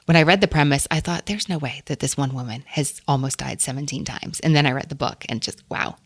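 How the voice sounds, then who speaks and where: Low gravelly-voiced woman host. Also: brisk, dry recording. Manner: enthusiastic, laughs often. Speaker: podcast host. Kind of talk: casual book talk.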